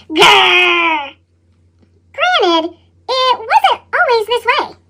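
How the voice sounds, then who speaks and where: A performer voicing a lively, animated chipmunk storyteller with a sped-up pitch effect, reading a children's picture book expressively and dramatically. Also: a little hiss or hum, full character voice. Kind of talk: read-aloud children's picture book narration.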